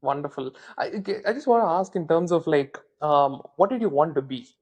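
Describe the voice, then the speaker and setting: A man hosting a podcast interview, asking questions in a halting way with many fillers. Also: on the high side, animated. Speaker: podcast host.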